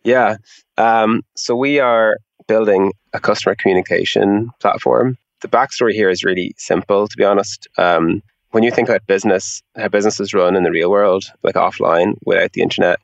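Low, creaky-voiced man explaining informally. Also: fairly even intonation, moderate pace, dry recording, highs cut off. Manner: informal, explanatory, frequent "you know" and "like". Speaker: interview guest, tech product executive. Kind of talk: conversational interview explanation.

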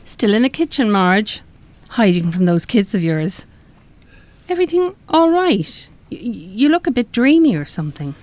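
A woman's voice: medium pitch, big pitch swings, moderate pace, a little mellow, slight background noise, natural voice. A woman playing a guarded, wry survivor of violence in a live radio play. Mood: curious, teasing. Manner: evasive, wry.

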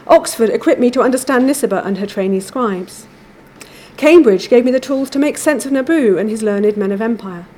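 A woman giving a measured, formal academic lecture from a prepared text. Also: fairly high pitch, a little mellow. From England.

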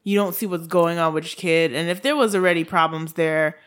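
Haitian accent, bright tone, mid pitch, podcast co-host, dry quips. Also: smooth. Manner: quick agreeing interjections, dry jokes. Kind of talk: casual true-crime chat.

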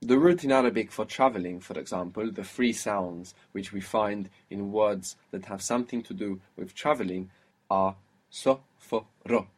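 A man teaching a one-on-one language lesson, patiently explaining and spelling out sounds slowly. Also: on the low side. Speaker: Arabic teacher.

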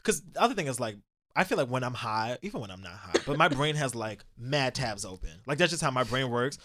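A man chatting casually with friends, telling joking stories in an animated way. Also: mid-range voice, a little fast. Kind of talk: casual group chat.

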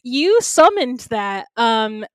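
A young woman co-hosts a podcast in a casual, upbeat way, handing out shout-outs and plugs. Her voice is smooth and clear and sits a little high. The sound is dry and close to the mic. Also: sing-song, slow pace, no room sound. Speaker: podcast co-host. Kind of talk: casual chat.

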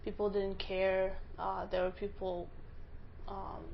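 A young college student from Russia, a native Russian speaker, answering interview questions casually with lots of "like" and quick self-corrections. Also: female voice, medium pitch, completely flat delivery, gravelly, dull audio, slight background noise. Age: young adult.

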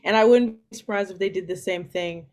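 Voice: mid-range voice, fairly quick. A guest talks casually and bluntly about a film. The tone is frank and direct, with wry throwaway lines. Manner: blunt and frank, dry asides. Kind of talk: casual conversation.

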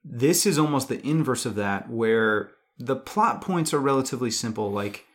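A man's voice: mid-range voice, animated, moderate pace. A man co-hosting a podcast, talking casually and analytically about film and swearing freely. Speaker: podcast co-host. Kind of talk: casual film discussion chat.

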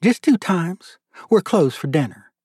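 Man putting on a high, girlish teenage girl. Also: big pitch swings, unhurried, a little mellow, husky, dry recording, studio quality, full character voice. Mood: playfully scolding. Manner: girlish, gushing, playfully scolding. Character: eager, sassy teenage girl, affectionate with elders.

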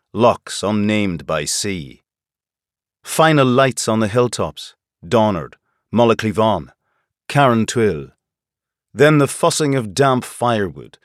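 A male narrator reads literary nonfiction in a fairly low voice with lively, varied intonation. His delivery is measured and clearly scripted, in the manner of an audiobook. The sound is very dry, with no room echo.

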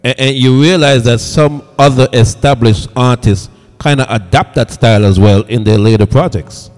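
A man's voice: medium pitch, normal speed, darkish tone. A man hosting a live music interview in an affirming, agreeable manner. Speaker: interview host. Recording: reverberant, echoing sound.

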